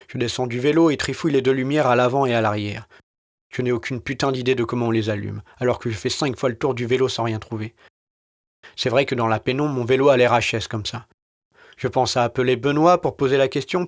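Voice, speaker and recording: A man reading a scripted first-person short story in a studio, with an understated, deadpan and colloquial delivery. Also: medium pitch. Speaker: narrator of his own audio short story. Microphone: studio recording.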